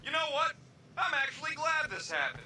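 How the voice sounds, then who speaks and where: An actor playing a small, irritable raccoon-like creature in a video game, gruff, raspy and sarcastic with slangy, snappy delivery. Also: male voice, very high-pitched, wildly swooping pitch, fairly quick, sharp tone, slight background noise, heavily acted. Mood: irritated. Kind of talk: video game cutscene acting.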